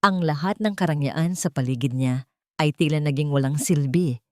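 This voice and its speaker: Low-voiced woman narrating dramatic love stories. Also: normal speed, mellow, no echo, clean studio sound. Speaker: story narrator.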